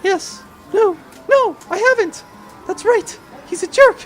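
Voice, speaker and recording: Woman playing a very high-pitched flustered adventurer. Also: takes it slowly, faint music behind. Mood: conflicted. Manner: hesitant, flustered. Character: flustered, easily smitten young adventurer woman. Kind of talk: comic audio drama.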